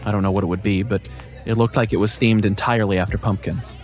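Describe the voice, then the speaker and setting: A man narrating a first-person scripted story in a measured, wry way. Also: lowish voice, steady tone, faint music behind.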